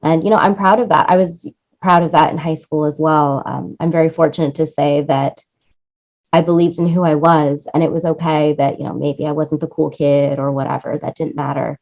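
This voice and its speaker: Female interview guest, lowish voice, effusive and emphatic with repeated phrases, on slightly muffled lo-fi audio. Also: steady tone, normal speed, gravelly, a little mellow. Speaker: interview guest, music supervisor and producer. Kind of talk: enthusiastic interview conversation.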